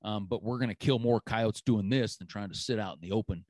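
A man's voice: lowish voice, brisk, a little mellow, dry recording, clean studio sound. A man hosting a hunting podcast, chatting casually and easygoing, with lots of "you know" fillers. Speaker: podcast host.

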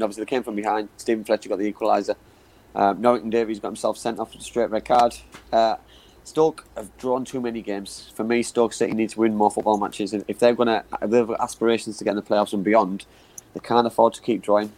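Fast-talking football pundit. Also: male voice, on the low side, a little mellow, rough recording. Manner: fast, repeats words, many fillers like you know what I mean. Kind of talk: casual football chat show.

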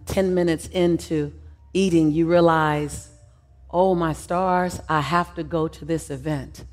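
A woman leading a guided visualization, soft and slow in the calm passages and rising loud and emphatic when she voices the crowd. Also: on the low side.

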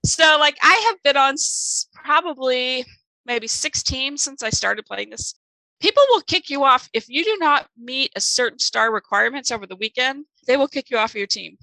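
A woman whose first language is German, co-hosting a podcast in casual, chatty conversation, self-deprecating with quick asides. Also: high-pitched, bright, forceful tone, dry recording, rough recording. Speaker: podcast co-host, author. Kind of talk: casual chat.

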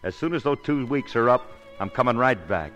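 An actor with an American accent playing a brash, devoted young husband and hoofer in 1950s radio drama style, slangy and wisecracking. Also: male voice, mid-range voice, expressive, fairly quick, fairly bright tone, faint music behind, lightly acted. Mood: earnest, reassuring.

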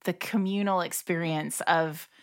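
A woman's voice: fairly low pitch, measured pace, clear, resonant tone. A woman co-hosting a podcast, chatting casually about a romance novel with lots of fillers and restarts. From father from Italy, did not grow up speaking Italian. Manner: animated, many fillers and restarts. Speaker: podcast co-host. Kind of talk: casual book chat.

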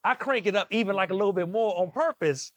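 A man from the Maryland area with a Southern accent, hosting a podcast interview, talking fast and enthusiastically. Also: high-pitched, fairly bright tone, dry recording. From Maryland, DMV area. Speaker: podcast host.

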